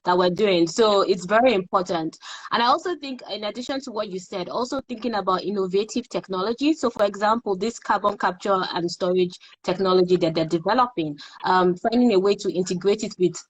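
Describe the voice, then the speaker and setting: Breathy young woman interviewer. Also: medium pitch, brisk, poor audio. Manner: friendly, agreeing, hesitant fillers. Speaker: interviewer, host. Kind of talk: online interview.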